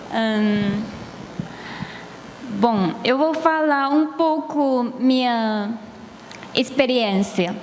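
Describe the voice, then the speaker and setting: Young Chinese woman speaking slow, halting. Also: on the high side. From China. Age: young adult. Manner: halting, searching for words. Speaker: international student guest. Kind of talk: hesitant testimony. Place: church sanctuary. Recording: long church hall reverb, faint noise.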